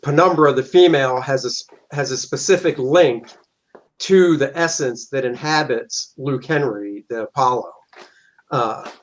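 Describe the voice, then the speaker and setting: A man's voice: medium pitch, a little slow. A middle-aged man, a comic book artist, answering interview questions in an easygoing way and explaining at length.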